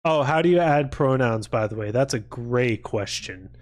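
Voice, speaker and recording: A man's voice: mid-range voice, expressive, moderate pace. A man hosting a casual livestream, rambling along and swearing freely. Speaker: livestream host.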